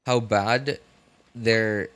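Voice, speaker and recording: A young man streams with a fairly low voice. His commentary is casual and rambling, full of halting starts and repeated phrases. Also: steady tone, a bit bright, smooth. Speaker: game streamer.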